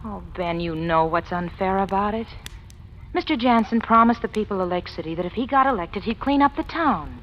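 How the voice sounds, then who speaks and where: A woman's voice: mid-range voice, lively intonation, a little fast, lightly acted. A woman playing an earnest, anguished city official in love with a racketeer, pleading intensely in 1940s radio melodrama style. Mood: troubled, reproachful. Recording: muffled, noisy old radio recording.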